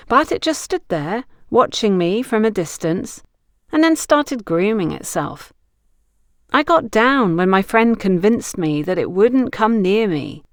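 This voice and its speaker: Audiobook role, played by a woman narrator: teasing, worldly woman friend, mid-pitched, frank and instructive. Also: no room sound, clean studio sound. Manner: playful, knowing, instructive. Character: frank, teasing woman confidante giving romantic advice. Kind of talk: audiobook character dialogue.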